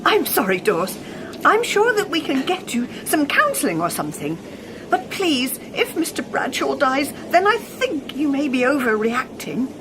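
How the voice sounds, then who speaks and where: A woman's voice: high voice, big pitch swings, moderate pace. A woman playing a prim, anxious shop assistant who reasons politely, in a comedic audio drama. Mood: polite pleading. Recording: clearly noisy background.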